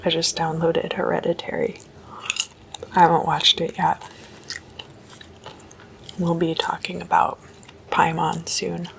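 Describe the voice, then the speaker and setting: A woman doing whispered ASMR as a host, hushed and deliberate, mostly in breathy, unvoiced whisper. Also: on the low side, monotone, a little hiss or hum. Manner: slow, hushed, deliberate delivery.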